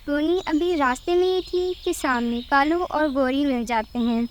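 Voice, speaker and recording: A woman's voice: high voice, measured pace, slight background noise. A woman reading scripted narration for a children's cartoon moral story.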